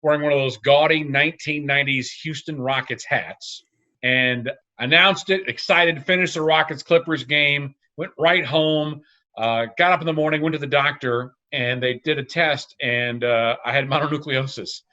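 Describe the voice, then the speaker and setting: A man in his forties, a sports radio announcer, telling animated anecdotes as a guest over a video call. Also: fairly high pitch, moderate pace. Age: 40s. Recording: dull, lo-fi video-call audio.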